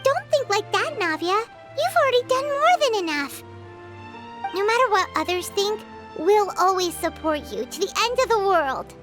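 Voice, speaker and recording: A woman voicing a tiny, childlike girl companion in a video game, squeaky, earnest and caring. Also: very animated, normal speed, over music. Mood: reassuring. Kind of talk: English video game dub acting.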